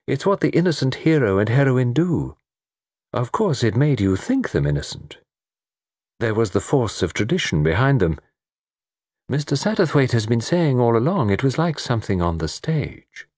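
Man acting enigmatic British gentleman, placid, dreamy, quietly knowing, measured murmur, husky, close dry sound, storybook. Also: low-pitched, wildly swooping pitch, no room sound, exaggerated voice. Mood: dreamy, leading.

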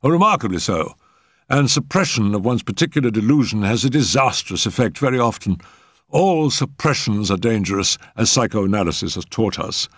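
A man voicing a pompous, genial eminent male doctor who lectures in a measured, emphatic way in a storybook reading. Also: on the low side, expressive, dry recording, clean studio sound, voice pushed up. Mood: self-satisfied, expansive.

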